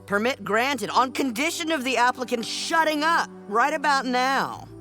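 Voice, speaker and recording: An actor playing a blunt, sarcastic adventuring companion in a game, with a dry, cutting delivery. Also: male voice, very high-pitched, lively intonation, moderate pace, pushed and bright, soft background music, heavily acted. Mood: sardonic. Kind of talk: game voice acting.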